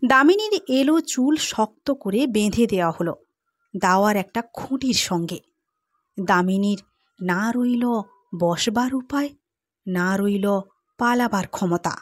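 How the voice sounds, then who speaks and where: Slow, measured woman narrator. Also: mid-range voice, lively intonation, no room sound, clean studio sound. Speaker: audiobook narrator. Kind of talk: scripted literary narration.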